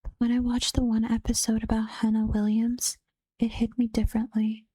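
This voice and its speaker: A woman softly whispering ghost stories in a soft-spoken ASMR reading, close to the mic. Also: on the high side, monotone, moderate pace, no room sound, rough recording.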